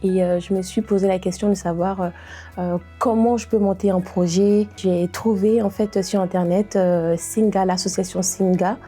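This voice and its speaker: Woman in her 30s from côte d'ivoire, lots of pauses and euhs, soft music faint underneath. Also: mid-range voice, fairly even intonation, slightly rough. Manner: hesitant, many pauses and "euh" fillers. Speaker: diversity and inclusion trainer. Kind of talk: interview testimony to camera.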